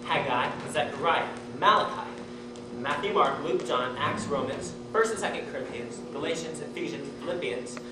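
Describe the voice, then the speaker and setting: A man's voice: on the high side, normal speed, lightly acted. A man playing an earnest, awkward, polite young man on a blind date in a live stage comedy. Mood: earnest, proud. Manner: eager, polite, plain-spoken. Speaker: student stage actor. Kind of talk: live one-act stage comedy acting. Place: theatre stage, recorded from afar. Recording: echoey noisy stage, distant mic.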